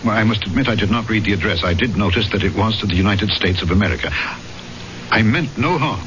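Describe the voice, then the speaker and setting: Actor as a deep, dramatic gentleman storyteller. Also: a little hiss or hum, natural voice. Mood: earnest, apologetic. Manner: formal, dramatic storytelling with measured phrasing. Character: courteous island gentleman named Henri, reflective and loyal. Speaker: actor narrating and playing a lead part. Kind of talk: old-time radio drama acting and narration. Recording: dull old radio recording, music behind.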